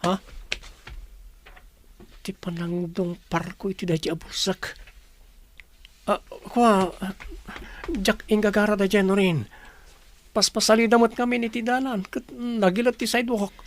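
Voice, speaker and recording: An eager young suitor in a radio drama, smooth-talking and quick to apologise as he pleads his case. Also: sits high, fairly even intonation. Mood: sheepish.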